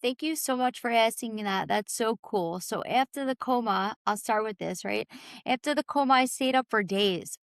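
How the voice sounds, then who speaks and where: Raspy lady on a podcast just talking through her life story, kinda high voice, very dry sound, says like a lot. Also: moderate pace, no echo. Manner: informal, peppered with "like" and "you know". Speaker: podcast guest telling her own story. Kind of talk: candid personal storytelling.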